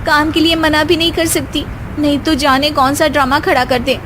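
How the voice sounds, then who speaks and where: A woman acts a young Indian daughter-in-law in a cartoon tale. Her voice is high, dutiful and anxious, meek in replies and fretting to herself. Clear urban noise sits behind her. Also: little pitch movement, normal speed, natural voice. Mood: fretful. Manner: meek when answering, fretful when muttering to herself. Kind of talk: acted Hindi cartoon moral story.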